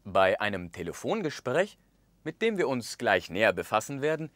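A man in his thirties presenting a language course in a studio, speaking with expressive gestures and acting out the example phrases. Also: mid-range voice, moderate pace, no room sound. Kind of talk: scripted lesson narration. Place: studio with orange backdrop.

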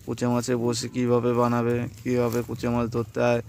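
A man's voice: mid-range voice, monotone, brisk, vocal fry, darkish tone. A man in his thirties hosting a fishing vlog outdoors by a pond, chatting casually to his viewers. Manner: chatty, addresses viewers as friends. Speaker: fishing channel host. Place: open farm fields by a pond, outdoors. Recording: faint outdoor noise.

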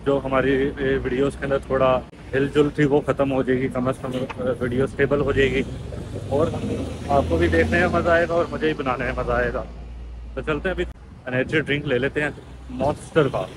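A man in his thirties with a Pakistani Urdu accent talks casually into a phone held out in front of him while walking a busy street. His voice sits at an ordinary middle pitch and he explains things as he goes. Clear traffic noise runs underneath the whole outdoor recording. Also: fairly even intonation, brisk. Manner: chatty, explains as he walks. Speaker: vlogger. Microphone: phone held at arm's length.

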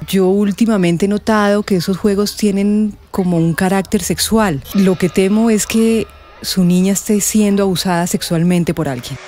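Actress as a mellow, concerned Colombian schoolteacher. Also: female voice, medium pitch, normal speed, lightly acted. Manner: careful, serious. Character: concerned, tactful female schoolteacher. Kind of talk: radio soap opera acting.

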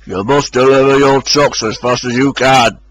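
Storybook: man doing a calm, sensible male truck, husky. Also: mid-range voice, normal speed, dry recording, natural voice. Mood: earnest. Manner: calm, explaining.

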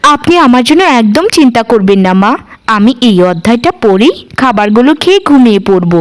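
A woman's voice: a little high, lively intonation, brisk, lightly acted. A woman playing a devoted, determined young daughter-in-law in a cartoon, speaking earnestly and respectfully. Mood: grateful, earnest. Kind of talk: cartoon dialogue.

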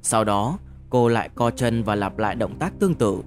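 Male narrator, low voice, measured scripted audiobook reading, music behind. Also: animated. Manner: measured, deliberate storytelling.

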